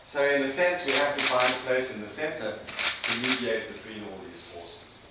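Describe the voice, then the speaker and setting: A man from Zimbabwe giving a public lecture as guest speaker, lively, jumping between loud bursts and quiet asides. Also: medium pitch, normal speed. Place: large lecture hall. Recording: echoey lecture hall, mic far off, background noise.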